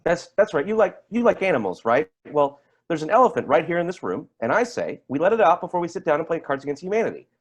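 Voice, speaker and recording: An actor playing a bitter, sarcastic man in a staged play reading, needling others with halting repeats. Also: a little high, sing-song. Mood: pointed, mock-cheerful. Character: bitter, sarcastic man feuding with his sister's partner.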